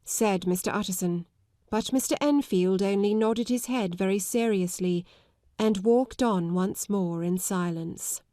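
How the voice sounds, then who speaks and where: A woman reading literary narration as an audiobook narrator, in a measured, articulate manner. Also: medium pitch, dark tone, no room sound, clean studio sound.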